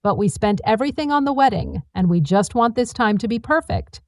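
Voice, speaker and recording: A woman's voice: fairly high pitch, lively intonation, brisk, dry recording, studio quality, lightly acted. A woman narrator voicing a young, manipulative daughter-in-law with a sugary, over-polite manner in a drama story. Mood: pleading.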